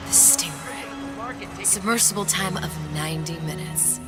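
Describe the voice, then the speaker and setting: An actress playing a clever, resolute young woman in a game, bookish and haunted, with a dry, often hushed delivery. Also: on the low side, a little slow, in a large hall, over loud music, lightly acted. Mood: pensive, focused. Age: young adult.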